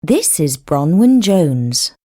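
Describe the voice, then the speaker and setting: Slow, mellow woman reading a lesson. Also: deep voice, sing-song, no room sound, studio quality. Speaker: language course narrator and dialogue reader. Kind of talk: slow, clearly read textbook dialogue.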